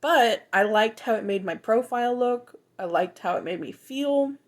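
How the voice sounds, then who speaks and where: A woman of twenty-five, talking in a reflective, hesitant way with many pauses and restarts for a personal video journal. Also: on the high side, animated.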